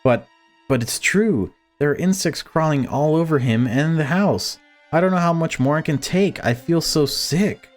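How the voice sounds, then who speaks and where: A man's voice: on the high side, expressive, moderate pace, voice pushed up, lightly acted. Upset young daughter in a horror visual novel, done by a guy, fed up, pleading, music behind. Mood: distressed, pleading. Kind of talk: reading visual novel dialogue aloud.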